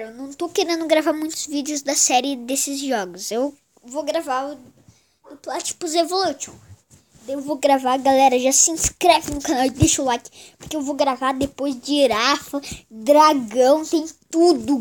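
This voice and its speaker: High-pitched child gamer with a stuffy cold. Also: slight background noise. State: congested with a cold. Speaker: gaming channel host. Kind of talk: casual mobile game commentary.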